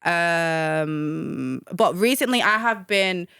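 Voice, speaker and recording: A woman's voice: on the low side, extremely slow, creaky, clean tone, no room sound, studio quality. A young woman, talking casually and animatedly as a guest in an interview, with many fillers. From Manchester, England. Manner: animated, chatty, hesitant with fillers.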